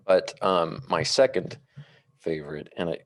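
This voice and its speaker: A man with a low voice talks casually as a film podcast panelist. The sound is dry, with very little room around him. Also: unhurried, no echo. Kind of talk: casual film discussion chat.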